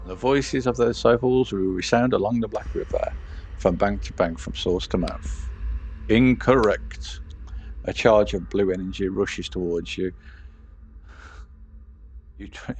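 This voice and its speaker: A man streaming a game, reading its text aloud and thinking out loud between passages in casual commentary. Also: sits low, steady tone, measured pace, gravelly, slight background noise, rough recording.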